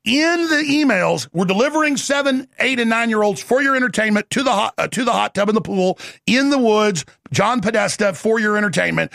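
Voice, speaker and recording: Gravelly, bright-toned ranting man. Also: high voice, lively intonation, no room sound, clean studio sound. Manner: intense, rapid, rambling monologue. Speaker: show host. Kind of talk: ranting interview talk.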